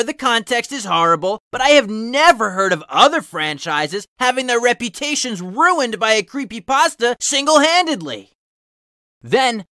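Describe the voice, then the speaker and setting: Animated, bright-voiced man narrating fan fiction. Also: very high-pitched, no room sound, studio quality. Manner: dramatic, emphatic delivery. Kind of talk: animated storybook-style reading in a cartoon hero character voice with asides.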